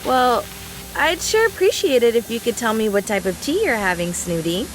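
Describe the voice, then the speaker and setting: A woman's voice: a little high, very animated, normal speed, a little hiss or hum, rough recording. A woman voice-acting a brash, mischievous young woman fighter from a video game, teasing in a playful, folksy, mock-serious way. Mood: breezy.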